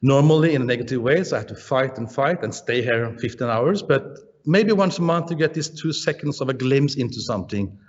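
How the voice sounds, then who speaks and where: A Danish-accented man speaks in a middle-pitched voice, thoughtful and halting, with plenty of "uh"s as he hunts for the right English word. The sound is dull and slightly muffled, and a long reverb trails after him. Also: animated. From Denmark. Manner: halting, searching for words, frequent "uh" and restarts. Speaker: painter being interviewed. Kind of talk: relaxed interview answers.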